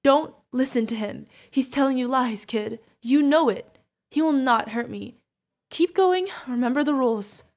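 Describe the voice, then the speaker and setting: A woman's voice: high-pitched, unhurried, darkish tone. A woman playing a fierce yet tender witch mentor who is protective of an adopted pupil, in an emotional fantasy audio roleplay. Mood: urgent, fierce. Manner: emotional, commanding, affectionate, pleading. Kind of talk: ASMR fantasy roleplay acting.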